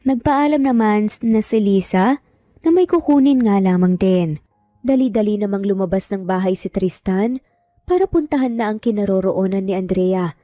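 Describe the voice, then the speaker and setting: Mellow woman storyteller, close dry sound. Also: mid-range voice, normal speed, no echo, faint music behind. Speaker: storyteller, narrator. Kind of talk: scripted narration of romance drama story.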